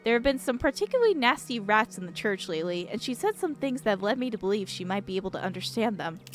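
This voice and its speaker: An earnest young father, played by a woman in a game story, talks in a measured, sincere way, as if confiding in a friend. He sounds gentle and devout, at an ordinary conversational pitch for her voice, with music in the recording. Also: very animated, natural voice. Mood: hesitant, confiding. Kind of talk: acted reading of visual novel dialogue.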